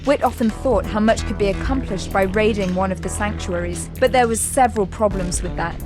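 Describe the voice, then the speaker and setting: A woman narrates an audio drama at a medium pitch, with music clearly playing beneath her. She reads scripted, dramatic narration as the storyteller. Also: brisk.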